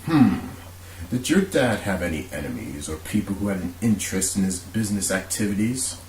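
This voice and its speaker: A man playing an authoritative, brisk police commissioner in an amateur radio drama. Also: low-pitched, expressive, darkish tone. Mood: businesslike. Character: authoritative middle-aged police commissioner, steady, businesslike. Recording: roomy echo, faint hiss.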